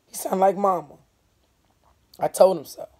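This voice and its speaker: Casting: woman teacher-narrator, hoarse and dark-toned, emphatic, deliberate delivery of a dramatic book reading. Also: medium pitch, fairly even intonation. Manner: deliberate, emphatic, pausing on key words. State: hoarse from laryngitis or a cold. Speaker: teacher reading a novel aloud.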